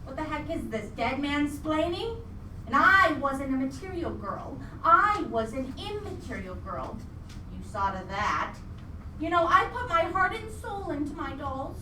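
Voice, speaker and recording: A woman's voice: sits high, sing-song, unhurried, bright, forceful tone, full character voice. A woman acting a vengeful, gleefully demonic female ghost in a live radio play, with a theatrical, taunting delivery full of wordplay. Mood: indignant. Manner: theatrical, taunting, pun-heavy. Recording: echoey live venue, distant mic, noisy.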